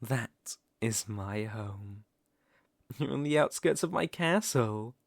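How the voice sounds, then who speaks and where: A man's voice: mid-range voice, expressive, vocal fry, no echo, clean studio sound, exaggerated voice. A man with a British accent playing a centuries-old aristocratic vampire lord in an ASMR roleplay, suave, predatory and teasing, with formal, theatrical phrasing. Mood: suave, nostalgic. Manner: silky, theatrical, teasing and formal with lingering pauses.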